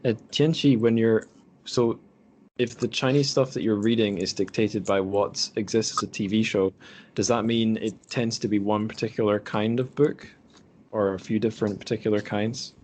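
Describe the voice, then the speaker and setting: Male podcast host, low register, casual and rambling, faint background noise. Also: steady tone, normal speed, darkish tone. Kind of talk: casual group conversation.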